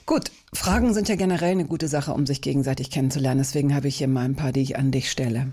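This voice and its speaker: A woman's voice: rumbling low, quick pace, dark tone. A woman interviewing a guest, asking probing and playful questions with occasional fillers.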